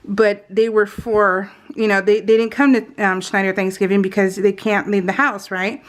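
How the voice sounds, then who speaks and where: A middle-aged American woman telling personal stories in a casual, informal, animated way, slipping into retold dialogue. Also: medium pitch, normal speed. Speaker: craft video host. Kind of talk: casual chatty storytelling.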